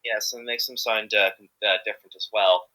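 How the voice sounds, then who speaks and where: Very bright-voiced man chatting haltingly. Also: on the low side, fairly even intonation, rough recording. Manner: jokey, halting and rambling. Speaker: amateur voice actor, interview guest. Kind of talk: casual interview chat.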